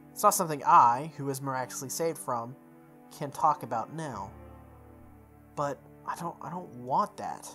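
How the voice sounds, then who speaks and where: A mid-pitched gamer reads visual novel narration aloud. The delivery stumbles and repeats phrases, slipping into casual asides. Music plays clearly behind the voice. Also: a bit bright. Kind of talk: playthrough read-aloud with offhand asides.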